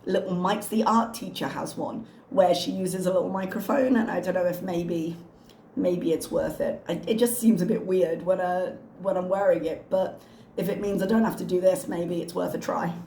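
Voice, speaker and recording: A woman with a hoarse, slightly strained voice, chatting casually and wryly as a reaction channel host. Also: fairly low pitch, fairly even intonation, moderate pace, dark tone, roomy sound, slight background noise. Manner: chatty, wry, self-mocking. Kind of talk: casual chat to camera.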